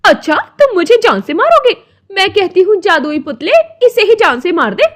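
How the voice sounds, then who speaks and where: A woman voicing a greedy, sharp-tongued village wife in a moral-story cartoon, with dramatic delivery. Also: extremely high pitch, big pitch swings, normal speed, voice pushed up. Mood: taunting. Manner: taunting, dramatic.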